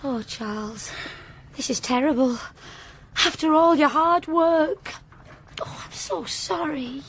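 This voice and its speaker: A woman's voice: sits high, slow pace, a little hiss or hum, heavily acted. An actress playing an anxious, devoted servant woman, worried and sympathetic, in a radio drama. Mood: sympathetic dismay. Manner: worried, sympathetic, soft-hearted. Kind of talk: broadcast radio drama acting.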